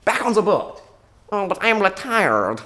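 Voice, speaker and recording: A man's voice: high voice, big pitch swings, a little slow, sharp tone, pitched above the performer's own voice, exaggerated voice. A man doing a lazy French explorer. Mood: languid.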